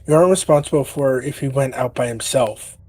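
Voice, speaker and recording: A man voicing a grieving, awkward young male cat who mumbles formally and haltingly in a husky voice. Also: mid-range voice, a little mellow, no room sound, natural voice. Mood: reassuring.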